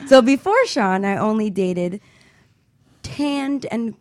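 A woman's voice: on the high side, animated, takes it slowly, darkish tone, no room sound, clean studio sound. A woman co-hosting a talk radio show, telling a personal story in a chatty way. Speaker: co-host.

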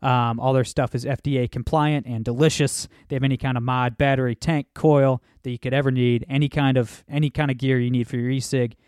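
A man's voice: mid-range voice, little pitch movement, fairly quick, few pauses, a little mellow, smooth, dry recording, studio quality. A man hosting a podcast in a casual, upbeat way, with lots of fillers. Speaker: podcast host.

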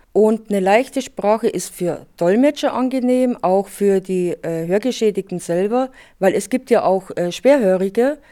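Woman, interpreter, conversational, mellow warm voice. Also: medium pitch. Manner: conversational, explaining with fillers. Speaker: sign language interpreter. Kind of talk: radio interview soundbite.

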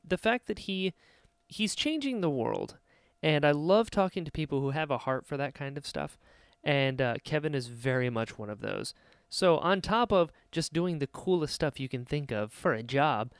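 An American man hosts a casual podcast with open enthusiasm. His voice is clear and smooth at a middling pitch. The sound is dry and close, and he talks effusively, like someone eager to share. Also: no echo. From Florida, United States. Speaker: podcast host.

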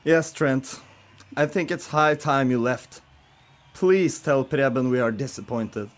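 A man's voice: mid-range voice, slow pace, close to an everyday voice. A man playing a stiffly pedantic, indignant rock band member in an amateur radio play, speaking formally and emphatically.

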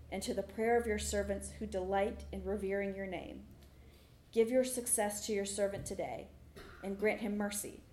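A woman's voice: medium pitch, dark tone, a little hiss or hum. Female lay scripture reader, formal delivery, mid-range voice, echoey church acoustic. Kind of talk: formal scripture reading aloud. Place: church sanctuary during a service.

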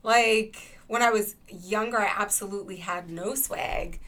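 A woman's voice: medium pitch, a little slow, a bit bright, low-quality recording. A woman from Los Angeles and San Diego with a Southern California accent, telling stories as a podcast guest in a playful, slangy way. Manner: playful, slangy, swearing, acts out quotes. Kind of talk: casual storytelling chat.

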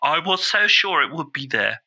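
A man's voice: on the high side, lively intonation, normal speed, bright, forceful tone, highs cut off, heavily acted. A man playing an earnest, bumbling caller on a comedy podcast, fussy and overly polite. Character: earnest bumbling male bushel-farmer caller.

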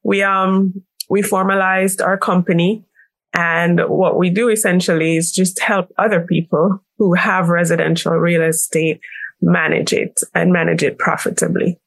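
Creaky-voiced Jamaican woman, closely recorded. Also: on the low side, little pitch movement, unhurried, no echo. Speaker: interview guest, business owner. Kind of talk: conversational explanation in an interview.

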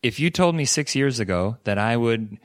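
A man's voice: mid-range voice, expressive, moderate pace, dry recording, studio quality. A young man co-hosting a podcast in a casual chat, earnest and encouraging, often restarting his sentences. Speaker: podcast co-host.

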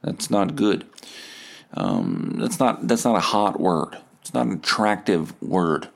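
A man in his thirties with a fairly low voice hosts a podcast. He tells a comic story in a casual, sweary way, acting out bits of dialogue as he goes. He comes from Winnipeg, Canada. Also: slow, creaky. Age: 30s. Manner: casual, sweary, animated when quoting dialogue. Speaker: podcast host. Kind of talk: comedic storytelling, reading out a message exchange.

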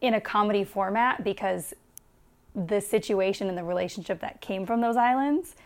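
A woman's voice: medium pitch, normal speed. A young adult woman from the United States, a stand-up comedian guest chatting casually and laughing often at herself in an interview.

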